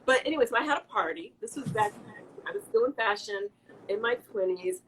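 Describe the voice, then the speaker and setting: A woman's voice: on the high side, big pitch swings, fairly quick, a bit bright, a little hiss or hum, poor audio. A middle-aged woman from the American Midwest, chatting casually as an interview guest, laughing often and restarting her sentences.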